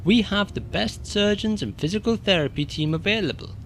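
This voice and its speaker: A man's voice: high voice, lively intonation, a little fast, a bit bright, slight background noise. A man playing a paternal, reassuring rabbit doctor in an audio drama, with a theatrical, emphatic delivery. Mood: reassuring, grave. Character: avuncular male rabbit doctor, grandly reassuring.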